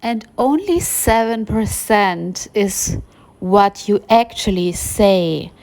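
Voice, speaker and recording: A woman, a German speaker, talking off the cuff in an emphatic, halting, rambling personal monologue. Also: medium pitch, animated, darkish tone, no room sound, rough recording. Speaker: YouTube vlogger.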